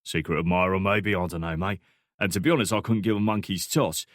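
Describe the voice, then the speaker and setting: A man's voice: low-pitched, brisk, no room sound, studio quality, close to an everyday voice. Man putting on a cocky Cockney geezer. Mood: cheeky. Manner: thick slangy drawl, cocky. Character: gruff middle-aged Cockney man, cheeky, offhand.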